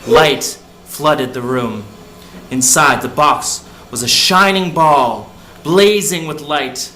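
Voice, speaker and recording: A man's voice: fairly high pitch, takes it slowly, bright, forceful tone. A man narrating a folk tale for children in simple, short phrases. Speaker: storyteller. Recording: echoey room, faint background noise.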